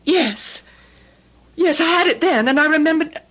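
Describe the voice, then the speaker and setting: An actress playing a fretful, guilt-ridden young wife in old-time radio drama style, distraught and pleading. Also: female voice, sits high, expressive, a little slow, fairly bright tone. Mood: flustered.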